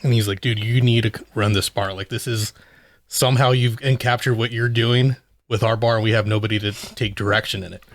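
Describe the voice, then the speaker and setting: A man with a fairly low voice talks in a loose, rambling way with many fillers. He is a bartender who is a guest on a casual podcast. The sound is dry. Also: steady tone, brisk, no echo. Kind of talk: casual chat.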